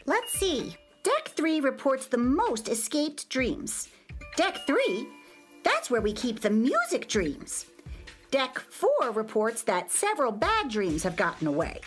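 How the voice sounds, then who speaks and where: Woman plays an eager ship's first mate, children's radio play: very high, wildly swooping, earnest. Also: slow pace, soft background music, heavily acted. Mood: pondering. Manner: theatrical, earnest, expressive. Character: eager first mate of a flying dream ship.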